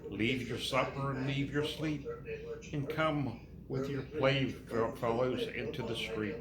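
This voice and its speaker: A man reading nursery rhymes aloud to his child in a sing-song, unhurried way, pausing and repeating lines. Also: medium pitch, reverberant, rough recording.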